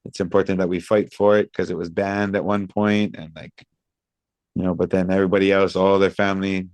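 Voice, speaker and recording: A man in his thirties from Hawaii, answering interview questions in a thoughtful, measured way with many pauses. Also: low-pitched, level tone, darkish tone, no echo. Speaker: guest, reggae singer. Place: outdoors by a pool among high-rise buildings.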